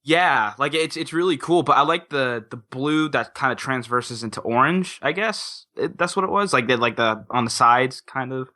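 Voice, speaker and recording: Bright-voiced man in casual podcast chat. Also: mid-range voice, animated, normal speed, few pauses. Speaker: podcast panelist.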